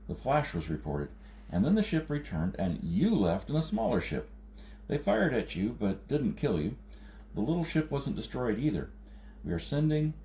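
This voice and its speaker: A man's voice: mid-range voice, warm tone, reverberant, a little hiss or hum. A man voicing a grave, blunt, determined outlander leader with an intent delivery in a science fiction audiobook.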